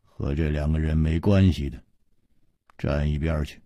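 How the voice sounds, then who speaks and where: A man's voice: extremely low pitch, fairly even intonation, normal speed, dark tone, no echo, clean studio sound, pitched below the performer's own voice. A man voicing a sinister swordsman with a weeping face who speaks in a cold, eerie, half-crying way. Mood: menacing.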